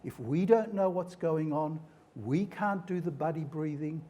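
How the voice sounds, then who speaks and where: A man with Hungarian as his first language presents to a forum as a clinician. His voice is fairly high for a man, soft-edged and mellow, with a husky grain. He explains concepts in a teacherly way. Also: unhurried. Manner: explanatory, didactic, speaks in metaphors. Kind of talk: live conference presentation.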